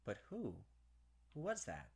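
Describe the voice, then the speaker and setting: A man hosting a let's-play, switching between reading story text aloud and animated, puzzled commentary on it. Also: fairly high pitch, a little slow, breathy edge. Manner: animated, puzzled reactions and speculation, mimicking characters. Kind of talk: gameplay commentary mixed with reading visual novel text aloud. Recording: music playing behind the voice. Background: game background music.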